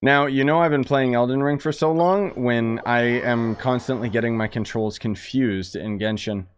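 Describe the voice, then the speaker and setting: A man's voice: mid-range voice, moderate pace. A man giving casual, off-the-cuff livestream commentary on a game, blunt and sardonic. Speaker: game streamer. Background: faint game music.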